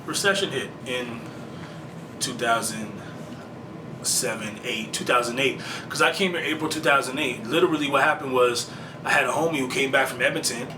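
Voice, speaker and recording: A man's voice: mid-range voice, moderate pace. A man, a rapper talking in a casual interview in a laid-back, slangy and profane way. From Canada. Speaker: rapper guest. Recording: roomy, distant mic with loud background hum.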